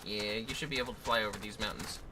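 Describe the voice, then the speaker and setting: A man's voice: on the low side, steady tone, quick pace, noisy background. A man hosting a gaming livestream, chatting casually and playfully as he guides another player along. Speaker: livestream host. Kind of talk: casual gameplay chat and guidance. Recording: loud game audio behind voice. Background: loud game music and effects.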